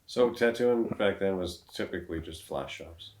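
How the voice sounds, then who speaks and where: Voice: low voice, normal speed, darkish tone, a little hiss or hum. A podcast interviewer asking questions in a casual, conversational way.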